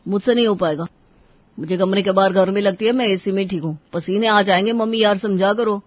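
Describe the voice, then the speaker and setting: A woman voicing a lazy, irritable father who grumbles and complains in an animated moral story. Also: medium pitch, a little fast, dry recording, highs cut off, close to an everyday voice. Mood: irritated, complaining. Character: lazy, grumbling grown man, father of two boys.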